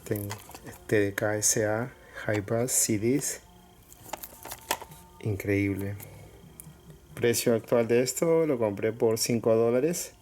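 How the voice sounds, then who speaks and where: A man casually presenting items to viewers in short, halting phrases with pauses. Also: fairly low pitch, over music. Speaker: collector showing cassettes for sale. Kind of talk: casual product showcase. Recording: clear background noise with clicks.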